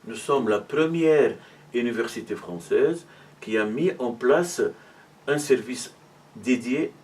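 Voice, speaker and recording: A middle-aged man from Morocco who works as a university arts and culture officer, answering interview questions in a measured, explanatory way, with many hesitations. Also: mid-range voice, animated, a little mellow, distant mic.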